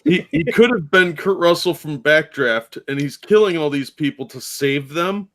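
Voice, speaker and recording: A man's voice: a little high, expressive, moderate pace, fairly bright tone. A man on a live online show, chatting casually and tossing out absurd, joking casting ideas.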